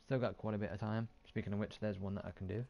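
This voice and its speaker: A man's voice: lowish voice, fairly even intonation, quick pace, gravelly, mellow, dull audio. A man giving casual, offhand gameplay commentary, muttering and mildly swearing to himself. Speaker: gaming YouTuber.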